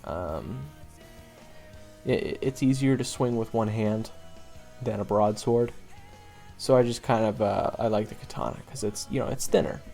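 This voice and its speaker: A man playing a seasoned monster hunter and blunt mentor to a rookie in an audio roleplay, speaking conversationally with halting, drawn-out pauses. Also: on the low side, vocal fry, mellow, faint music behind. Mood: casual, offhand. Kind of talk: audio roleplay acting addressed to the listener.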